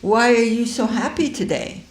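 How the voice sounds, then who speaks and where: A woman's voice: a little high, expressive, moderate pace, voice pushed up. A woman voicing a scheming female servant who coaxes a queen with insinuations. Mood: insinuating. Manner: coaxing, insinuating. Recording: echoey room.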